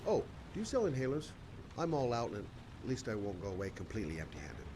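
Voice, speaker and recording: An actor playing a calm, world-weary male private detective in a video game, measured, dry and reassuring. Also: lowish voice, background noise. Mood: weary, probing. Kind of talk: dramatic video game acting. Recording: music and rain behind voice.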